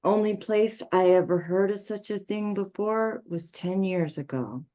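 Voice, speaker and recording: A woman's voice: mid-range voice, unhurried, dark tone, natural voice. A woman playing a grim coroner in a horror script table read, on dull lo-fi audio. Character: grim coroner talking to a police lieutenant.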